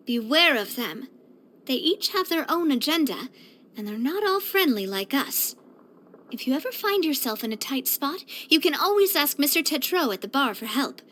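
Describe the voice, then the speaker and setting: A woman voicing a bright, earnest, sociable young female leader in a video game, chatty and expressive. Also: high-pitched, moderate pace. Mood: cautioning, confident. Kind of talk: video game character voice lines.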